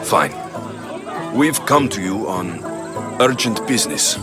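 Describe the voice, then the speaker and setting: A proud young Greek warrior in a game drama, low in pitch with lively swings. He talks bluntly and tersely over a clear musical score. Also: takes it slowly, loud music behind the voice, a loud crowd. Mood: direct. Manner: terse, direct. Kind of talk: dramatic video game acting.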